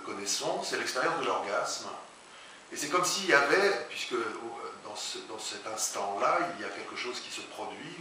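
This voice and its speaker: A man, a translator and writer, being interviewed before an audience, speaking haltingly with many restarts. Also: medium pitch, sharp tone. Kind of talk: public talk interview. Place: large echoey hall, before an audience. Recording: very echoey hall, far from mic, hiss.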